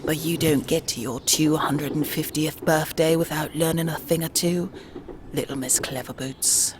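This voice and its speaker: A woman's voice: sits low, steady tone, moderate pace, lightly acted. A woman voicing an ancient, cantankerous London street woman who scolds and mutters in a comic dub, with a London accent. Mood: menacing. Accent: British, London. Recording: clearly noisy background.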